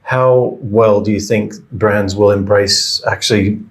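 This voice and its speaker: A man's voice: fairly low pitch, steady tone, a little slow. A man co-hosting a podcast, chatting and asking questions in a casual, conversational way. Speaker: podcast co-host. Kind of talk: casual chat.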